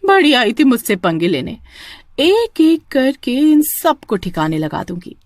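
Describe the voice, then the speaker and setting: A woman's voice: high-pitched, big pitch swings, a little slow, no echo, pitched above the performer's own voice, exaggerated voice. A woman playing a scheming, possessive witch daughter-in-law who gloats and mutters menacingly in a horror-comedy story. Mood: vengeful.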